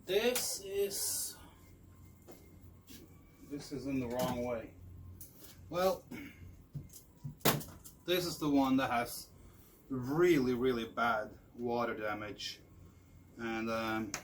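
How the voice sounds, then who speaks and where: A man's voice: mid-range voice, extremely slow, pauses often. Distant, noisy man chatting. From Norway. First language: Norwegian. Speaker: vlogger showing used audio gear. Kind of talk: casual chat. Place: shop room by an open door. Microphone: distant camera. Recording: far from the mic, clearly noisy.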